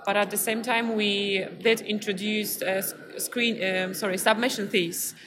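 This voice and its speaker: A woman's voice: mid-range voice, little pitch movement, normal speed. A woman from Poland with a Polish accent, answering interview questions as a festival programmer in a hesitant, thoughtful way. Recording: clearly noisy background ambience.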